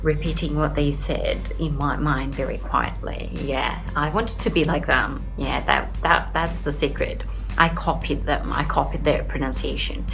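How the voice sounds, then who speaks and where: A woman's voice: deep voice, steady tone, a little slow, vocal fry, music in the background, rough recording. A young adult Brazilian woman and English teacher answering interview questions earnestly, with many "uh" fillers. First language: Portuguese. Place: home in Sydney.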